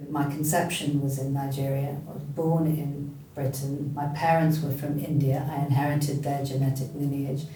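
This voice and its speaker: A flat, dark voice that reasons aloud with wry humour. It sounds distant in a heavily echoing room, with a faint rumble underneath. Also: moderate pace, hardly any pauses. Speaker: interviewee. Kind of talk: documentary interview. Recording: echoey distant mic, low rumble.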